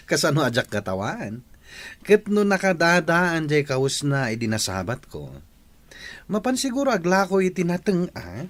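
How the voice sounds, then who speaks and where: A man's voice: on the high side, big pitch swings, unhurried, fairly bright tone. A man playing a spoiled, scornful young man who taunts others in a radio comedy drama. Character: spoiled, mocking young man who belittles his jobless neighbor.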